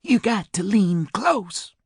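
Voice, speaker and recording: Guy doing a super old lady, talks real slow and soft, swingy pitch, lowish voice. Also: animated, slightly rough, dry recording, studio quality, heavily acted. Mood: wistful. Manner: slow, soft, unhurried drawl. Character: frail old woman over ninety, gentle and wistful.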